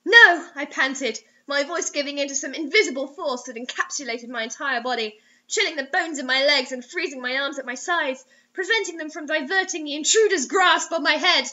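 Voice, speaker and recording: A woman's voice: high voice, brisk, pushed and bright. A young woman reading bad fiction aloud in a theatrical, overwrought, mocking way with asides. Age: young adult. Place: small indoor room. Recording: dull lo-fi webcam sound.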